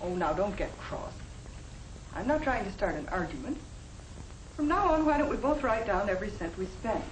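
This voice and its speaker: An actress playing a spirited young American working wife who coaxes and argues, in the style of a 1950 educational film. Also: female voice, medium pitch, big pitch swings, normal speed, lightly acted. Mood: pleading. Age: young adult. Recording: echoey, faintly noisy 1950 film soundtrack.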